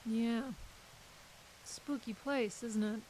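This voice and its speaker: A woman's voice: on the high side, steady tone, slow, a little mellow, poor audio. A woman playing a guarded, brusque literary agent in an audio drama, with a dry, curt manner. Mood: dry, reflective. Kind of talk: naturalistic audio drama acting. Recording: clearly hissy recording.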